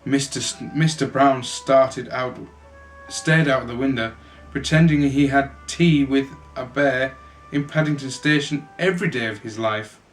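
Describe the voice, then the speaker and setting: A man's voice: mid-range voice, unhurried, low-quality recording. A man narrating a children's storybook aloud as an amateur reader, now and then stumbling and correcting himself. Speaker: amateur storybook reader, a father reading for his family. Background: music.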